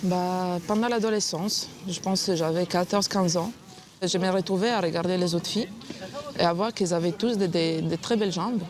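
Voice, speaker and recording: A woman in her thirties from Sardinia with an Italian accent, talking haltingly in a documentary interview. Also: on the low side, crowd noise. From Sardinia, Italy. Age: 30s. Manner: hesitant, many restarts and fillers. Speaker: cosmetic surgery patient. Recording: music playing underneath.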